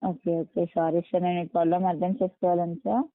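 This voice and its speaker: A woman handles a customer support call at a brisk, quick pace. She is polite, apologetic and reassuring, addressing the caller deferentially. The sound is a narrow, tinny telephone recording, close and dry. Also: on the low side, flat delivery, no echo. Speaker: customer care agent. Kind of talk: phone customer support, polite scripted phrases. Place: on a phone line.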